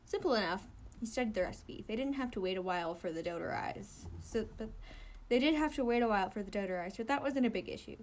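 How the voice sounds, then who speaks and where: A woman's voice: medium pitch, expressive, quick pace, darkish tone, a little hiss or hum. A woman reading a story aloud informally, sometimes stumbling and correcting herself. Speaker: amateur fan fiction reader.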